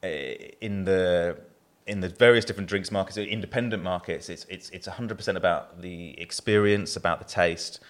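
A man with a southern English accent answers interview questions about his work as a brewer. His voice sits low, and he rambles, restarting his sentences often and filling the gaps with ums and you-knows. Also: normal speed, few pauses. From southern England. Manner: rambling, many restarts and fillers.

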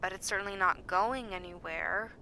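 A woman's voice: medium pitch, normal speed, vocal fry, sharp tone. A woman acting in an audio drama as an upbeat, observant bunker maintenance worker, heard through a suit recorder outdoors. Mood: curious, uneasy. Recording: suit recorder, outdoor ambience, faint noise.

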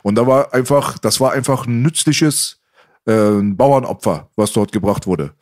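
A man's voice: medium pitch, a little slow, darkish tone. A man, originally from Iran, hosting a casual chat and telling stories in street slang. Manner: talkative storyteller, street slang.

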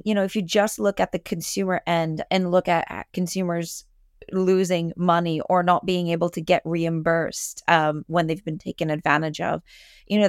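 A woman gives expert commentary in a conversation, earnest and emphatic. Her voice is on the low side with plenty of vocal fry, recorded dry, and her wording is articulate. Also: no room sound. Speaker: fintech industry expert guest.

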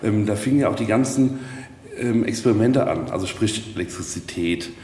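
A man explaining things casually in a reflective, halting way, searching for his words as he goes. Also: on the low side, mellow. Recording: echoey reverberant hall, faint noise.

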